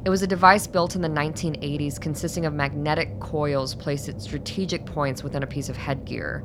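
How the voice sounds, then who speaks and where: Woman acting a clinical lady doctor, low voice, formal and guarded, explaining stuff, noisy radio drama audio. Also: fairly even intonation, a little fast.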